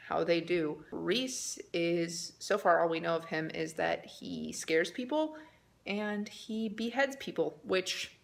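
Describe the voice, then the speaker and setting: A woman's voice: medium pitch, vocal fry, a bit bright. A woman chatting casually to camera as a book vlogger, slangy and opinionated in how she talks. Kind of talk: casual vlog chat to camera.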